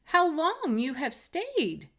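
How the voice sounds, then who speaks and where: A woman's voice: high-pitched, wildly swooping pitch, slow pace, voice pushed up, heavily acted. A woman voicing a sweet, coy young fairy-tale heroine who feigns innocence, polite and wistful. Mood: feigned sleepiness.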